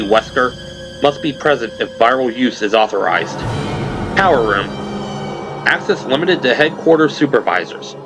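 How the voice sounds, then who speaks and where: A man giving dramatic scripted narration for an audio drama, with deliberate, suspenseful phrasing. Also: mid-range voice.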